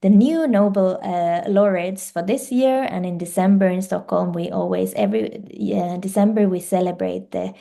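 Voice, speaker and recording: Mellow-voiced woman, hesitant. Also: on the low side, animated, moderate pace, gravelly, few pauses. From Finland. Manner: fluent second-language speaker, hesitant fillers and restarts. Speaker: guest, life science director. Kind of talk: podcast interview.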